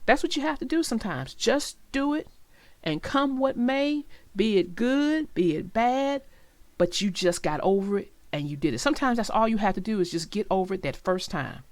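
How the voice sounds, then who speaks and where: A woman narrating for young children in an expressive, reassuring way, as if reading aloud and talking directly to them. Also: mid-range voice, normal speed, dry recording. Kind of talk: read-aloud storytelling and advice to young listeners.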